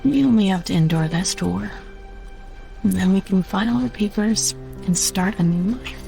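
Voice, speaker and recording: A woman's voice: medium pitch, normal speed, creaky, warm tone, faint music behind. A woman acting a caring, steadfast navy sweetheart in a film drama, earnest and supportive. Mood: reassuring. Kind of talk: dramatic animatic film dialogue.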